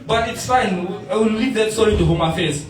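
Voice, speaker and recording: A man's voice: high-pitched, a little fast, recorded from a distance. A young man from Namibia telling stand-up stories on stage, often laughing through his own lines and repeating himself. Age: young adult. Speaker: stand-up comedian. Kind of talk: storytelling stand-up comedy. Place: bar stage before a live audience. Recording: echoey bar, heard from afar, loud crowd.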